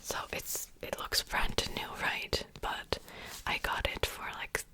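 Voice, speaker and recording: Slow whispering woman. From Czech Republic. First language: Czech. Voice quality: soft unvoiced whisper. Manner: slow, relaxed rambling with fillers. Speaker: ASMR creator. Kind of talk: whispered ASMR book haul.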